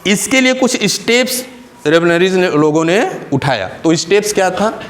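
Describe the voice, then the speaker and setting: A man's voice: high-pitched, expressive, moderate pace. A male teacher giving a classroom lecture, asking questions and answering them himself and repeating key terms in English and Hindi.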